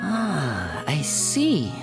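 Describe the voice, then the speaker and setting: Low, poised, analytical woman investigator. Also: wildly swooping pitch, drawn-out words, gravelly, exaggerated voice. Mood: thoughtful. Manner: considered, polite. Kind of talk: video game voice acting. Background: background music.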